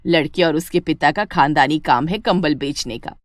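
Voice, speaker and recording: A woman's voice: deep voice, normal speed, dry recording, studio quality, pitched below the performer's own voice, close to an everyday voice. A woman voicing an older village mother, fussing over her grown daughter in a motherly, resigned way. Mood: pleased.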